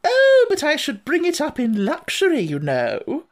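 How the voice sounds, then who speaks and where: A storybook reader voicing a vain, impulsive society lady who gushes in a high, fluttering voice. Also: wildly swooping pitch, measured pace, full character voice. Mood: gushing. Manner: gushing, high-flown and breathless.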